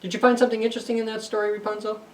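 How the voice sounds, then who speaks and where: Higher-voiced man reading to children. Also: flat delivery, racing, distant mic. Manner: simple explanations and questions addressed to children. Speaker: library story time reader. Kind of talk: animated children's storybook reading with asides.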